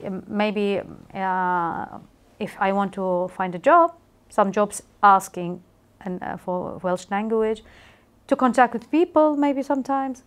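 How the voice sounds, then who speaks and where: A woman's voice: medium pitch, slow, clear, resonant tone. Hesitant woman in her forties. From Iraq. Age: 40s. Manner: hesitant, many fillers. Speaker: learner.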